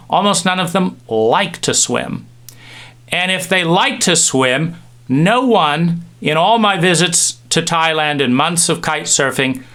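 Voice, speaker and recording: Man, middle-aged, slightly high-pitched, reflective monologue, pauses and restarts. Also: pushed and bright. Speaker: speaker giving a talk.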